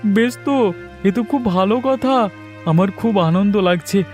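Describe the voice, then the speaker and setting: Man voicing a higher-pitched naive poor villager. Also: a little slow. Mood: cheerful, eager. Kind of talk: Bengali cartoon fairy tale dialogue. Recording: music playing behind the voice.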